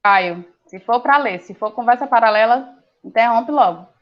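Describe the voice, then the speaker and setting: Woman teacher on a lo-fi call. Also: fairly high pitch. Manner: firm, brisk classroom directions with affectionate nicknames. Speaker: science teacher. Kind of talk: online class instruction. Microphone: video call. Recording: dull lo-fi call audio.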